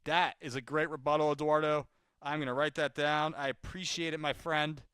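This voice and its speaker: A man's voice: a little high, flat delivery, normal speed, a bit bright, clean tone, no room sound. A man working as a sales caller and trainer, talking informally and chattily and repeating his points for emphasis during live calls. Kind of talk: live cold-call demonstration with coaching talk.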